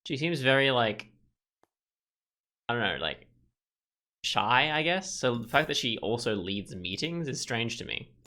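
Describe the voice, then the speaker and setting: A man's voice: mid-range voice, expressive, measured pace, long pauses, bright, forceful tone. A young man hosting a casual let's play, reading game text aloud and musing informally over it. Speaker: gaming video host.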